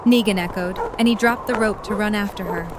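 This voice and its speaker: Woman narrator over clear background noise. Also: medium pitch, fairly even intonation, fairly quick. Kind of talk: scripted story narration. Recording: noisy storm ambience behind.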